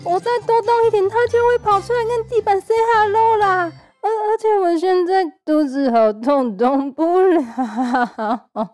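Woman putting on cutesy young lady guest, very high voice, Taiwanese accent, halting, embarrassed. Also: darkish tone, faint music behind. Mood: panicked. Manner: hesitant, halting. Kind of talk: comedic audio drama acting.

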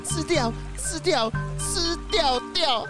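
A woman's voice: high voice, lively intonation, slow, loud music behind the voice. A woman voicing a shifty troupe leader who stammers when caught, in a children's audio drama. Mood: sly. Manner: sly chuckle, stammering.

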